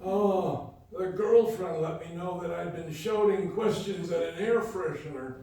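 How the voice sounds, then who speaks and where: A man's voice: high-pitched, measured pace, mellow. A man playing a cranky, eccentric very old comedian, grumbling and hammy, in a live comic radio play. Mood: mischievous, deadpan. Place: live fundraiser event room before an audience. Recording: echoey live hall, distant mic.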